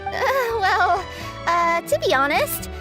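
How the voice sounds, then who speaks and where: A woman's voice: very high-pitched, big pitch swings, takes it slowly, full character voice. A woman voicing a cheerful but nervous young cat spirit courier in a video game, with a hesitant, sheepish way of talking. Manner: hesitant, sheepish, with many filler sounds. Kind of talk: game voice acting. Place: recording studio. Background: game music.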